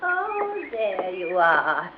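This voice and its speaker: An actress playing a chatty, fluttery elderly widow in a radio mystery, with a gravelly voice. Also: female voice, on the low side, huge pitch swings, drawn-out words, noisy background, full character voice. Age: older. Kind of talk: 1940s radio acting. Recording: dull old radio broadcast.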